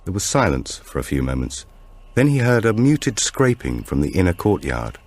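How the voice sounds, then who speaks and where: Man, very deep voice, scripted thriller narration, measured and suspenseful, lively intonation. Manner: measured, suspenseful storytelling with animated dialogue. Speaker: audiobook narrator.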